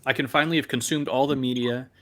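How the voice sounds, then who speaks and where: A man's voice: medium pitch, fairly even intonation, quick pace, over a noisy crowd. A man on a casual podcast panel, speaking with hesitant phrasing and dry humor.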